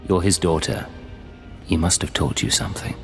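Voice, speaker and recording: A steady imperial agent in a video game, speaking in a low-key, concise way. His voice is very deep with a gravelly edge, and a long reverb hangs on it over clear background music. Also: normal speed. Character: calm male imperial agent, steady and loyal.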